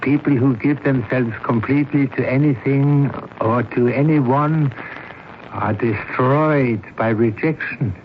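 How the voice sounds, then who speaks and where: Through a muffled, noisy vintage radio recording comes a man acting an insistent doctor. His medium-pitched voice is deliberate and commanding, pressing each pointed question home with cool authority. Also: natural voice. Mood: probing, insistent. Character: probing, insistent male doctor, coolly authoritative. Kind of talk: 1970s radio drama acting.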